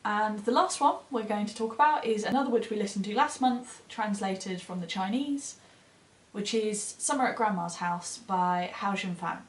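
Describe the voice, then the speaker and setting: A woman's voice: medium pitch, moderate pace, roomy sound. A woman co-hosting a casual book chat, speaking in an enthusiastic, conversational way as she gives recommendations. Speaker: book reviewer co-hosting short fiction recommendations.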